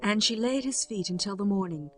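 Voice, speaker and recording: A woman narrating a scripture audiobook in a measured, formal way. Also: medium pitch, animated, faint music behind.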